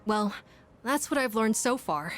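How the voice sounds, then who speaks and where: A woman playing an earnest, gentle young schoolboy in a video game, speaking politely and thoughtfully with some hesitation. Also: a little high, lively intonation, measured pace. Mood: earnest, warm. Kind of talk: English video game voice acting.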